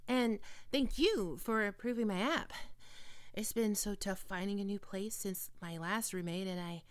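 A young woman voicing a nervous young female neko-vampire meeting her new human roommate in an ASMR roleplay, stammering and quick to take offense. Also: medium pitch, smooth, no echo, clean studio sound, close to an everyday voice. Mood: awkward friendly. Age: young adult.

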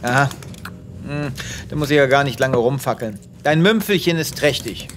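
A man's voice: mid-range voice, animated, normal speed, heavy background noise, lightly acted. A man playing a vain, self-pitying space veterinarian in a comic audio drama, melodramatic and whiny. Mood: dismissive. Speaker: lead actor of an audio drama series.

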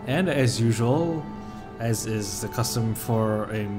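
A man's voice: on the low side, takes it slowly. A man giving casual commentary over gameplay with wry, sarcastic asides. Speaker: gameplay video host. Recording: game music under commentary.

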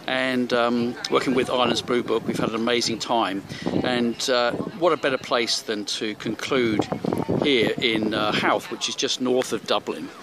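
A man's voice: medium pitch, fairly even intonation, unhurried, few pauses, heavy background noise. A man narrating a handheld walking tour of a seaside hotel, rambling with many fillers and restarts. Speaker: travel vlogger. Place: hotel rooms and seaside street. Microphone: handheld camera.

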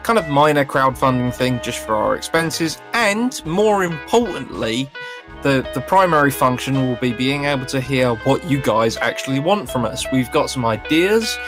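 A man gives an informal promotional announcement. He is wry and wordy, with a middling pitch and clear music behind him. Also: lively intonation, normal speed. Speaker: podcast writer and voice actor.

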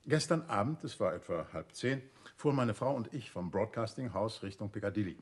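Calm probing gentleman detective, radio crime play, husky lowish male voice, politely firm. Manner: measured, inquisitive, politely firm. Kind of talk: German radio crime drama acting.

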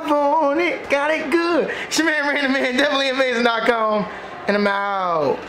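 A man's voice: very high voice, normal speed, slight background noise. A man chatting casually in a playful, joking way, repeating himself as he goes. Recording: echoey room with loud crowd chatter.

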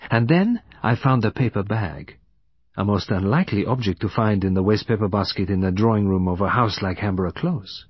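Shrewd gentle detective, played by a male reader, measured and courteous, husky voice, slightly dull audiobook recording. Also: on the low side, no echo. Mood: methodical explaining.

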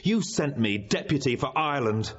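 A man's voice: medium pitch, animated, moderate pace, lo-fi sound, close to an everyday voice. An actor playing a hot-tempered, vengeful nobleman, fiercely declaiming in classical Shakespearean audio drama. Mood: furious, accusing.

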